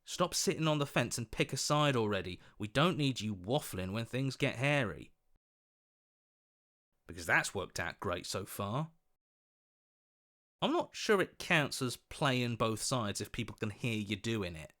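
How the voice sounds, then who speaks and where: An earnest young video game hero, speaks in a mid-pitched voice that moves with lively swings. He is hopeful and sincere, with an expressive, protesting manner. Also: a little slow. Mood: doubtful, wry.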